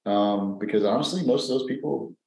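A man in his fifties with a fairly low voice talks loosely in a casual podcast chat, rambling and stopping on plenty of fillers. The room around him has a noticeable echo. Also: fairly quick, vocal fry. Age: 50s. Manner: relaxed, rambling, with many fillers. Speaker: podcast co-host. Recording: echoey room.

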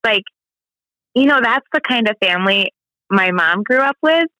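A woman's voice: medium pitch, animated, normal speed, sharp tone, dry recording, low-quality recording. A woman in her thirties from the American Midwest, talking candidly in a casual conversation, full of "like" and "you know". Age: 30s. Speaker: guest. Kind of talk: personal conversation.

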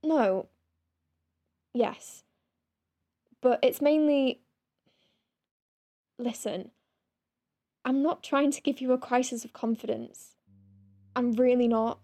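A woman's voice: sits high, slow, pauses often, dark tone, dry recording. Woman acting as a formal senior doctor, close and dry. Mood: stiff, apologetic. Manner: formal, clipped, literal-minded. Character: proud senior woman space-clinic doctor, stiff but kind. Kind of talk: audio drama acting.

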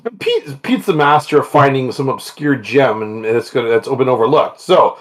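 A man's voice: medium pitch, animated, normal speed. A man hosting a podcast, chatting casually and enthusiastically, laughing often as he talks with his co-host online. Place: home, talking online.

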